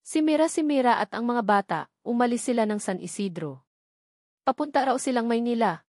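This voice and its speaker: A woman's voice: on the high side, lively intonation, fairly quick, a little mellow, dry recording, studio quality, pitched above the performer's own voice. Woman acting a worried, trembling aunt. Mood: anxious.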